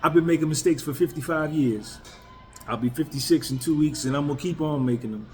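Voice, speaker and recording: A man's voice: on the high side, fairly quick, soft background music. A man in his fifties, a YouTuber, talking candidly and bluntly to his viewers in a casual, emphatic way. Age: 50s. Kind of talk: casual talk to camera.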